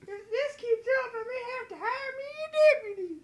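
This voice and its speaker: Very shrill small-town sheriff. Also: male voice, a little fast, fairly bright tone, echoey room. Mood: plaintive, bargaining. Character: small-town sheriff, shrill and slow-talking, fond of beer. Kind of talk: comic amateur radio play reading.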